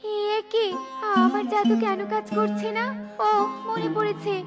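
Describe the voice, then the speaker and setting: A woman acts out a garden fairy for a children's cartoon. The voice is extremely high. The manner is possessive yet soft-hearted, expressive and theatrical, over prominent music. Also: a little slow. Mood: apologetic, helpful.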